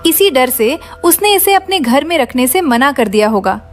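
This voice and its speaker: Casting: actress as anxious, shrewd village wife, horror story; high-pitched, insistent. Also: female voice, animated, moderate pace, soft background music. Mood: frightened. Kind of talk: Hindi audio horror story acting.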